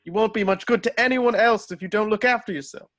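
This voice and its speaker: A man's voice: high-pitched, fast talker, a bit bright. A man playing an old, caring butler at a script read, formal and solicitous. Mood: concerned. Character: Alfred, a fussing, caring old male butler. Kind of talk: table read of a film script.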